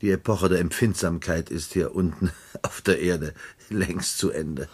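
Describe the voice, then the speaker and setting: An actor playing a weary, cynical official on a dying future Earth in a 1970s radio play, speaking dryly and matter-of-factly. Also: male voice, deep voice, fairly even intonation, unhurried, breathy edge, heavily acted. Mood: cool, sardonic. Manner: dry, matter-of-fact, sardonic.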